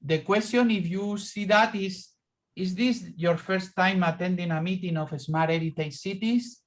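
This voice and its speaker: Man, meeting moderator, video call, halting, repeats words. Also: high-pitched, steady tone. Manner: halting, repeats words while calling on participants.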